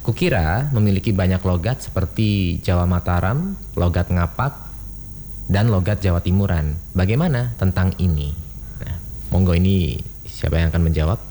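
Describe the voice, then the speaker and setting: The deep-voiced, mellow man who hosts a regional radio evening talk show, passing questions to his guests. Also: lively intonation, moderate pace, a little hiss or hum. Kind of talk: moderating a panel discussion.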